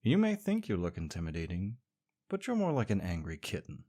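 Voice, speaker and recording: A man's voice: low-pitched, huge pitch swings, brisk, mellow. A young man performing a scripted audio roleplay as a sarcastic, teasing rival co-worker. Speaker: voice actor playing a smug rival co-worker.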